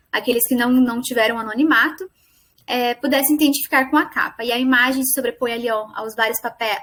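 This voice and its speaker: Female student presenter, young, Brazilian accent, high register, explanatory delivery with self-corrections. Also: fairly bright tone. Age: young adult. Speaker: student presenting a final project. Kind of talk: academic presentation.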